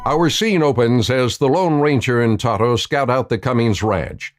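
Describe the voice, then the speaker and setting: A man's voice: mid-range voice, normal speed, soft background music. A man narrating a scripted radio western.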